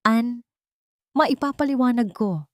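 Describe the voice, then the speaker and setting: A woman's voice: a little high, takes it slowly, no room sound, pitched above the performer's own voice. A guarded man weighed down by a secret played by a woman narrator in a romantic audio drama. He is evasive and pleading, heard in a dry recording. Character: guarded, guilt-burdened man hiding a secret.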